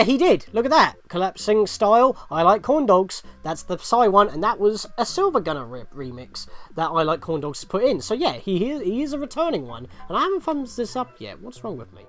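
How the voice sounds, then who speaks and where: A man's voice: high voice, sing-song, a little fast, faint music behind. A man hosting a gaming video with casual, rambling let's play commentary and chatty asides.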